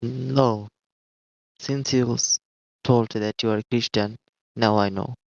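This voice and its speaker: A man from Bangladesh, a native Bengali speaker, calling in to debate. He talks in a flat, terse, impatient way. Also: mid-range voice, slow pace, creaky, no echo. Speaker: caller. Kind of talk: call-in debate. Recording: muffled dry call line.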